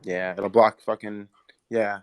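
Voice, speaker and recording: A man's voice: fairly low pitch, little pitch movement, a crowd in the background. A man co-hosting a podcast in casual chat, joking and swearing freely. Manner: fast, profane, joking. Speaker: podcast co-host.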